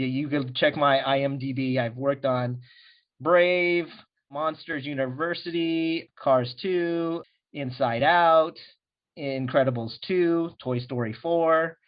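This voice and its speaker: A middle-aged American man talking candidly as a guest in a casual interview, often restarting his sentences. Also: medium pitch. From USA. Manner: earnest, candid, frequent restarts. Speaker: guest, animation director. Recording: dull lo-fi recording.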